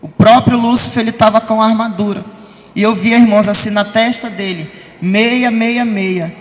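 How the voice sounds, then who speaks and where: A man's voice: sits high, fairly even intonation, normal speed. A man with a Brazilian accent giving an emphatic religious testimony to a congregation, repeatedly calling out to them as brothers. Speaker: church member giving testimony. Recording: dull, muffled sound in reverberant hall.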